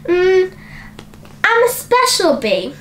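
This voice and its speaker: Storybook bear lad, played by a woman: friendly, bumbling, silly, pitched high, a hesitant fake bee. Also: sing-song, slow, a bit bright, reverberant, a little hiss or hum, exaggerated voice. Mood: sheepish.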